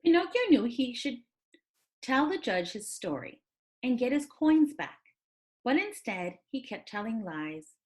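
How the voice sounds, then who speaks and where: Animated woman reading a children's story. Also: a little high, a little slow. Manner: expressive, dramatic storytelling for children. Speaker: teacher reading aloud to pupils.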